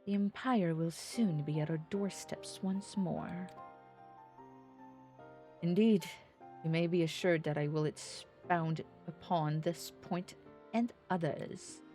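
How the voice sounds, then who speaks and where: Lady doing a young noble boy from a fantasy game, kinda pompous n proper, lowish voice. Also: mellow, faint music behind. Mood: self-assured, scheming. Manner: formal, measured, slightly pompous archaic speech. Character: earnest, self-assured young nobleman diplomat in a fantasy game.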